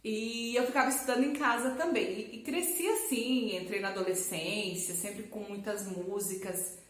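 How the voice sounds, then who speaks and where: The voice of a Brazilian woman vlogger sharing her own story with followers from a bare, echoing room, chatty and expressive. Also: mid-range voice, moderate pace. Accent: Brazilian Portuguese. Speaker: language teacher and vlogger. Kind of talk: casual personal storytelling to camera. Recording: echoey, roomy sound.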